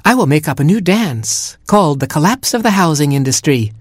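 A man's voice: fairly high pitch, animated, a little fast, dry recording, clean studio sound, pitched above the performer's own voice. A man voicing a young female penguin who is vain and theatrical about her dancing. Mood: dramatic. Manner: theatrical, self-absorbed.

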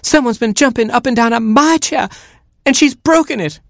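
A man voicing a fussy, quick-tempered mother hen in a storybook, clucking and scolding. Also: very high voice, gravelly, fairly bright tone, dry recording, clean studio sound, pitched above the performer's own voice, exaggerated voice. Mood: flabbergasted. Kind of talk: storybook character voice.

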